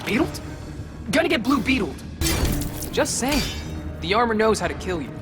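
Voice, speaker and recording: A man's voice: high-pitched, big pitch swings, normal speed. A man playing a wisecracking, cocky young superhero in a video game, flippant and slangy. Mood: cocky, joking. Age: young adult. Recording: loud music behind.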